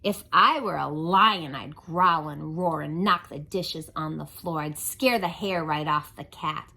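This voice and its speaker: A woman's voice: low voice, a little slow, creaky, bright, forceful tone, exaggerated voice. A woman voicing an indignant little child in a timeout chair, protesting in sing-song rhyme.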